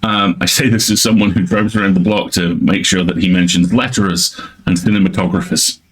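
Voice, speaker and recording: A man's voice: low-pitched, steady tone, fairly quick, breathy edge. A man chatting casually as a livestream co-host, with a dry, jokey manner.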